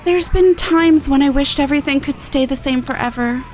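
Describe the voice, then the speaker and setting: A woman plays a young female inventor from a video game, gentle yet resolute. The voice sits high and speaks with earnest, thoughtful sincerity over prominent music. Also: moderate pace, close to an everyday voice. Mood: earnest, hopeful.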